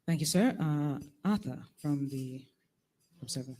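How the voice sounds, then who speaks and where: A woman moderates a press conference, thanking speakers and calling on reporters in short, procedural turns. Her voice is very low and mellow. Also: unhurried. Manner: brief and procedural.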